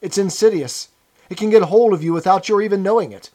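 A man acting out a nervous, servile prisoner in an audiobook. His pitch rides high and swoops about as he eagerly pleads his case, chummy and piously self-abasing. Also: expressive, normal speed, darkish tone. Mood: sanctimonious, confiding. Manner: pleading, chummy, sanctimonious, chatty old-fashioned British idiom.

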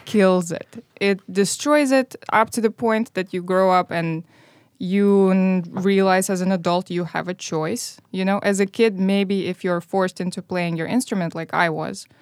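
A woman in her thirties whose first language is Russian, a violinist guest talking casually, animated, laughing often and dropping into whispered asides. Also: mid-range voice, a little slow, smooth, no echo, studio quality. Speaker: guest musician. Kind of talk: casual podcast chat. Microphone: headphones and studio mic.

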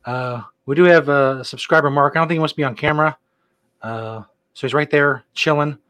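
A man's voice: fairly high pitch, brisk, a bit bright, no room sound. Laid-back man co-hosting a chat show. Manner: laid-back, joking, rambling. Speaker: podcast co-host. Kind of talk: casual chat.

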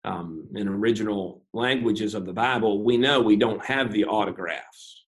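Man with a measured, pausing delivery. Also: on the low side, low-quality recording. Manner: measured, methodical, frequent "uh" and "um" pauses; self-deprecating humor. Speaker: pastor, interview guest. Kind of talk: unscripted interview explanation.

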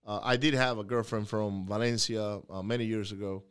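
A man's voice: lowish voice, fairly even intonation, a little fast, clean tone. A man from Venezuela hosting a podcast interview, chatty and joking, with a lot of restarts. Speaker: podcast host. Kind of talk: casual interview chat.

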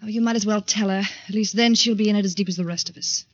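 An actress playing a cynical, scornful femme fatale with a brisk, sardonic, hard-edged manner in 1940s radio drama style. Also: female voice, mid-range voice, steady tone, dry recording, lightly acted. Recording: muffled 1940s radio recording.

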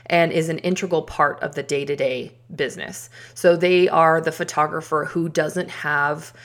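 Woman podcast host, low voice, chatty, upbeat, casual asides. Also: fairly even intonation, moderate pace, a bit bright. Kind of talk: casual solo podcast talk.

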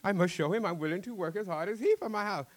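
A man voicing an earnest day fisherman in a folk tale, exclaiming slowly in a raised voice. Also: high-pitched, voice pushed up, lightly acted. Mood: amazed.

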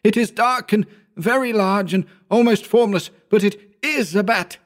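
A man voicing a hysterical, terrified man who gibbers and sobs in a shrill, quavering voice. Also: unhurried. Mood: sobbing. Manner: gibbering, frantic.

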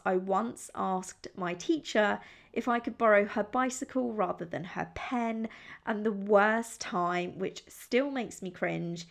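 A young woman from England hosts her own podcast, chatting in an upbeat, confiding way. Her voice sits at a middle pitch with a gravelly fry at the ends of phrases. She sounds close to a podcast microphone. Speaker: podcast host. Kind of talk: solo podcast chat.

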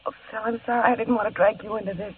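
Actress as an anxious young sister; high, distraught and pleading; thin, lo-fi 1950s broadcast sound. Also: female voice, steady tone, rapid-fire, natural voice. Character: anxious young woman, protective big sister. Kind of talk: 1950s radio acting. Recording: tinny old radio broadcast with faint hiss.

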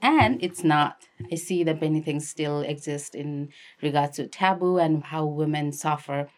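Low-voiced young Nepali woman. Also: unhurried. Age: young adult. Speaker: interview guest, activist and filmmaker. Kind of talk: conversational personal storytelling.

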